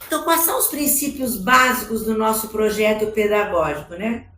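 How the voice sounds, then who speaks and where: A woman's voice: medium pitch, moderate pace, sharp tone, reverberant. A woman with a Brazilian Portuguese accent giving an explanatory conference talk about slides, often adding tag questions. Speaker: education speaker. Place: large conference hall.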